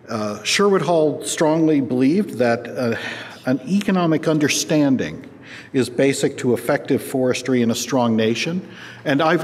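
An older male professor at a podium introducing a guest lecturer, halting and full of filler words. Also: medium pitch, darkish tone. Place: large lecture hall, at a podium. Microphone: podium microphone. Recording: reverberant hall, faint background noise.

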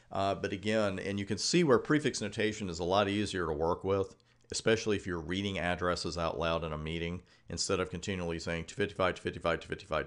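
A man with a low-pitched voice teaches a technical course over slides. He speaks conversationally, straight to the learner, and puts weight on the points that matter. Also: a bit bright. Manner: conversational, addresses the learner directly, stresses key points. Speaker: instructor of a computer networking course. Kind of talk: informal narrated slide lecture.

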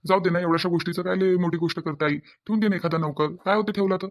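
A man's voice: sits high, steady tone, quick pace. A man with a Varhadi Marathi accent playing a conciliatory grown family man in a cartoon drama, coaxing and reasoning with repeated phrases.

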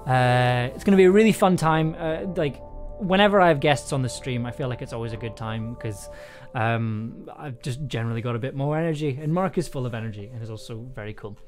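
Young male streamer, tired and hesitant, casual think-aloud chat, medium pitch, music in the background. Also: a little fast, few pauses. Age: young adult. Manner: rambling, hesitant, self-interrupting. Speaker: livestream host, audio drama maker. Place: small indoor room at a desk.

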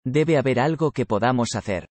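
A man from Spain reading short practice sentences aloud for a language lesson, careful and expressive. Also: medium pitch, moderate pace, dry recording.

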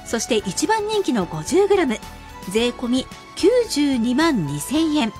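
A woman presenting a live radio sales pitch with emphatic delivery, slowing to spell out key figures. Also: big pitch swings, a little mellow. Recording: music bed under the voice.

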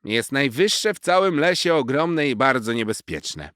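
A man's voice: medium pitch, expressive, measured pace, fairly bright tone, no room sound, studio quality, voice pushed up. A man voicing a small know-it-all female squirrel from a children's story, teasing and scolding with lots of emphasis. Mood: mocking, warning.

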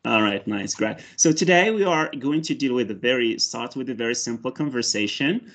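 A Moroccan man speaks English as a second language over a headset on a video call, pausing often on "uh" and "you know". His pitch sits mid-range, and he lifts his voice brightly when he turns to teaching. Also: animated. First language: Arabic and Berber. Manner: hesitant, many fillers and restarts, rises brightly when teaching. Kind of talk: mock job interview and demo lesson. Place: indoor room with large windows.

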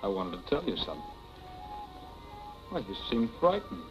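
An American actor in his thirties playing a smooth, apologetic young husband, earnest and glib, in a 1940s Hollywood film. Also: fairly low pitch, big pitch swings, normal speed. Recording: muffled vintage film soundtrack with music.

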